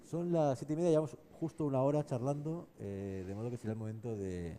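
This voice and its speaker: A man from moderating a live discussion in measured phrases broken by short hesitations. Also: mid-range voice, fairly even intonation, dark tone, slight background noise. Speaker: panel moderator. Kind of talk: spontaneous panel moderation. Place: live panel at a festival event.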